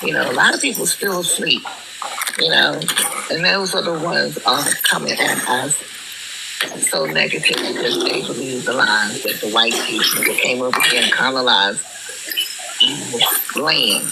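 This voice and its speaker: A hoarse woman calling in, speaking in a halting way with many ums. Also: very deep voice, heavy background noise. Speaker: caller. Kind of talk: call-in talk.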